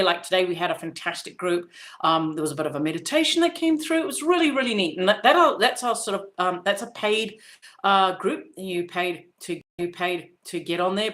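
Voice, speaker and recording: Fifties woman from Zimbabwe, lower-pitched, chatting as a podcast host with loose, meandering delivery. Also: fairly bright tone. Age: 50s. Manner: chatty, rambling, with many fillers and restarts. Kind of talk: casual spoken monologue.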